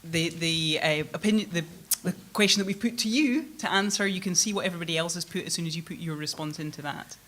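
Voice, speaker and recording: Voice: medium pitch, quick pace, hardly any pauses, a bit bright. A conference organiser giving housekeeping reminders, hesitant and repeating words. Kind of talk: housekeeping announcement.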